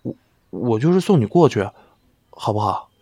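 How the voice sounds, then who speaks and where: A man's voice: mid-range voice, big pitch swings, normal speed, warm tone, slightly rough, dry recording, studio quality. A man playing a devoted, shy young idol who speaks earnestly and hesitantly in an audio drama. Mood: eager, hesitant.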